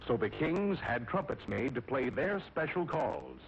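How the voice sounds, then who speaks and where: Animated male storyteller, dull vintage recording. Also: mid-range voice, a little slow. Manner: theatrical, addressing young listeners directly. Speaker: storyteller narrator. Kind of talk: scripted children's story narration, 1960 cartoon. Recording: dull, noisy vintage cartoon soundtrack.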